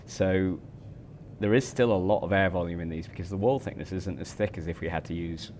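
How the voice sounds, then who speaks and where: A man's voice: low-pitched, a little fast, darkish tone, a little hiss or hum. A man in his forties explaining a product in a hotel demo room, stumbling over repeated words and fillers. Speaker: speaker company representative.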